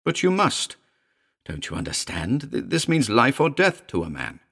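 A man's voice: medium pitch, wildly swooping pitch, moderate pace, gravelly, a bit bright, husky, voice pushed up, exaggerated voice. A man voicing an agitated, commanding male character in a dramatic audiobook, fervent and emphatic. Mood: insistent. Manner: fervent, emphatic, urgent pleading.

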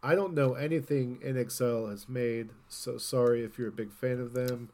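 A man's voice: medium pitch, steady tone, normal speed, darkish tone. A man co-hosting a podcast, chatting casually about gaming news in an informal, rambling way. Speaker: podcast host.

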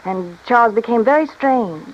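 A woman's voice: on the high side, expressive, moderate pace. A woman acting a discontented, self-pitying wife in an old radio drama, speaking in a hesitant, confessional manner. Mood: confessional, bitter. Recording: dull, faintly noisy old radio recording.

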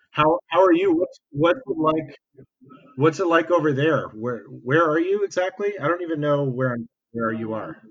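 A man's voice: fairly high pitch, lively intonation, a little slow, pauses often, husky. A man, a musician, answering as a guest in a casual remote interview, hesitant and laid-back. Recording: slightly dull remote call audio.

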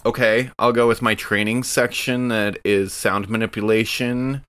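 A man's voice: fairly low pitch, fairly even intonation, moderate pace, a bit bright, clean tone. A man playing a tabletop role-playing game, chatting casually and explaining things step by step with some repetition.

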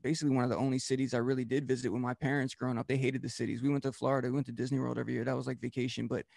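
Gravelly-voiced man rambling casually. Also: mid-range voice, flat delivery, rapid-fire, darkish tone, no echo, studio quality. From Delaware, US. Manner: loose, rambling, many fillers and restarts. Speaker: DJ and podcast host, guest. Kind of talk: casual interview talk.

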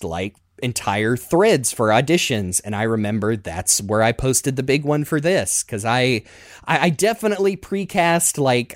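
A man in his thirties reminiscing casually as a podcast host in an animated, rambling, self-deprecating way. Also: medium pitch, no echo, clean studio sound.

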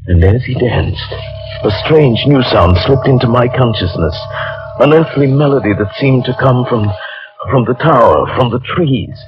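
An actor playing a grave, intense adventurer in a 1940s radio horror drama, telling his tale in measured, ornate, dramatic phrases. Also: mid-range voice, over music, close to an everyday voice. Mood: entranced. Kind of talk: 1940s radio drama acting, first-person narration. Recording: muffled old 1940s radio recording.

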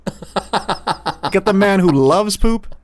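A man's voice: high-pitched, lively intonation, slow pace, no room sound. A man in his thirties co-hosting a podcast, joking and teasing in casual chat. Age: 30s. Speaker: podcast co-host.

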